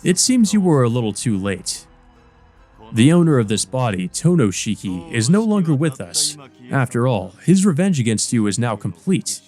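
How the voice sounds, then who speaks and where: A man's voice: mid-range voice, sing-song, normal speed, darkish tone, soft background music, close to an everyday voice. A man voicing a centuries-old male vampire villain from a visual novel, smug, mocking and theatrical, with a sneer. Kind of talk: visual novel character read-aloud.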